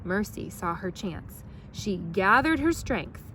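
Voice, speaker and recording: A woman reads a children's storybook aloud. Her medium-pitched voice moves up and down a lot, very animated. The recording carries faint noise. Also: slow pace, low-quality recording. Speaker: storytime reader.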